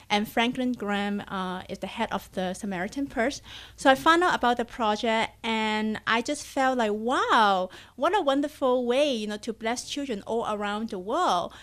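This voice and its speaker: A woman's voice: fairly high pitch, a bit bright. A woman from Hong Kong with a Hong Kong accent, a charity coordinator speaking earnestly as a radio interview guest, with fillers and non-native grammar.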